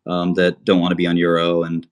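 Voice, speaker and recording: A man's voice: deep voice, monotone, fairly quick, clear, resonant tone. A man from Albania talking casually on a livestream, rambling with many fillers and restarts. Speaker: podcast host.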